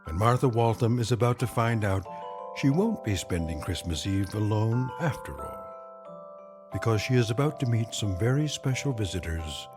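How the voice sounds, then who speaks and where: An actor playing a solemn, measured storyteller, narrating an eerie radio drama from a script. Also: male voice, lowish voice, animated, over music, natural voice. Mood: wry, portentous.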